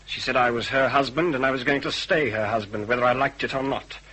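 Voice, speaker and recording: A man's voice: medium pitch, fairly even intonation, fast, sharp tone, husky, rough recording. A man playing an anxious, well-bred British husband in a radio drama, formal and stiff as he justifies himself with some hesitation. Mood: stiff, defensive. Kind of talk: radio detective drama acting.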